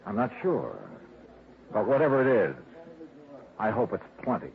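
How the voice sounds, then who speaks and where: An actor playing a calm, matter-of-fact hardboiled insurance investigator who also narrates a 1950s radio drama. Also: male voice, fairly low pitch, normal speed, heavy background noise. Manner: level, matter-of-fact, clipped. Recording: dull old radio recording with loud music.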